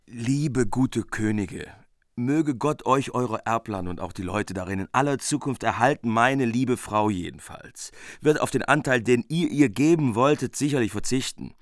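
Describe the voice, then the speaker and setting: A man playing a courteous, self-assured hero knight in a radio play, speaking in a measured, formal way. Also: mid-range voice, expressive, no echo, clean studio sound, close to an everyday voice.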